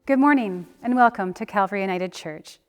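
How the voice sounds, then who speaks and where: A woman's voice: medium pitch, brisk, smooth, no echo, clean studio sound. Woman leading worship over guitar music. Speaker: worship leader. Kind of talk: scripted liturgical welcome and reflection.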